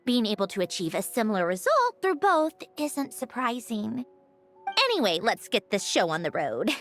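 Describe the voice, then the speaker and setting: A woman playing a logical, wistful female artificial being in a video game, speaking in a deliberate, analytical and reflective way. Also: on the high side, sing-song, soft background music. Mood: brisk, matter-of-fact. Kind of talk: video game character acting.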